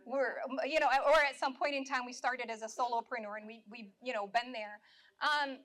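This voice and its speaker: Woman panelist, higher register, slightly worn voice, reflective and earnest. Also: steady tone, brisk, a bit bright. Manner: earnest, reflective, many restarts. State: dry mouth, slightly losing voice. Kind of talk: live panel discussion.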